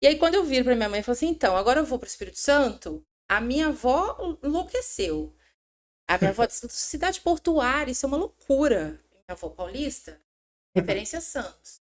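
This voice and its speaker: Middle-aged woman, fairly high voice, lively talkative interview guest. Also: fairly quick. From São Paulo, Brazil. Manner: animated, chatty, self-interrupting storyteller. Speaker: podcast guest, HR manager. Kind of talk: casual interview chat.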